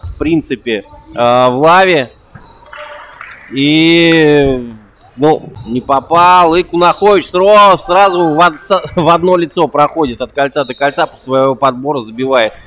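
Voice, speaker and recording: Young guy calling a basketball game, kinda high voice, excited, faint bouncing ball behind. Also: a little hiss or hum. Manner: quick excitable play-by-play with a repeated catchphrase. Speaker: basketball commentator. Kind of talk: live play-by-play commentary. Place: indoor basketball gym.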